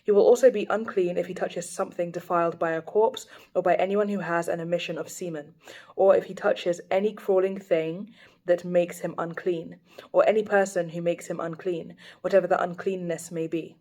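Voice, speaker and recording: A young woman reading scripture aloud at a steady, even pace for her daily Bible video, speaking into a phone. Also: on the low side, moderate pace.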